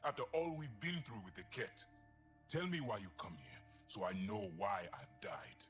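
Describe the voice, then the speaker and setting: An actor playing a distrustful, anguished alien soldier in a video game, speaking in a halting, dramatic way. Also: male voice, lowish voice, big pitch swings, fairly bright tone, soft background music. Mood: bitter, accusing. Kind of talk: video game cutscene acting.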